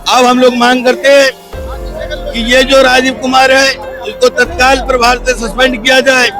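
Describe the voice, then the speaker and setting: A man's voice: very high voice, level tone, moderate pace, music in the background. A man giving a spoken account of a grievance to reporters. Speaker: protester giving a statement to reporters.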